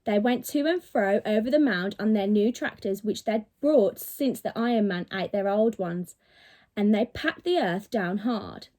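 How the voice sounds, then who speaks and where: A woman's voice: mid-range voice, unhurried, a little mellow. A woman reading a children's story expressively, with dramatic, emphatic delivery, like a teacher reading to pupils.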